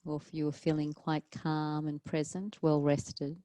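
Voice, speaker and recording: A woman teaching yoga leads a guided relaxation, calmly and gently, leaving long pauses between short phrases. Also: low-pitched, no echo. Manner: calm, soothing, gentle instruction with long pauses. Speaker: yoga teacher leading a class.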